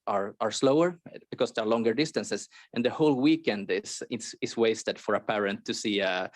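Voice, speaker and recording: A man on a conference panel explaining calmly, with frequent hesitations and restarts. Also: medium pitch, no echo, clean studio sound.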